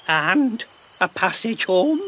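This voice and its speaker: A man's voice: high voice, very animated, slow pace, bright, forceful tone, a little hiss or hum, exaggerated voice. A man playing a ragged, half-crazed old marooned sailor in an audio drama, rambling and wheedling in rustic pirate dialect. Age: older.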